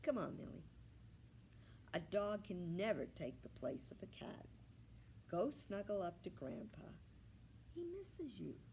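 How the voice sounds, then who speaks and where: A woman voicing a kindly male pig from a storybook, gentle and earnest, coaxing like a peacemaker. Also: mid-range voice, wildly swooping pitch, a little slow, creaky, long pauses, slight background noise, pitched above the performer's own voice, exaggerated voice.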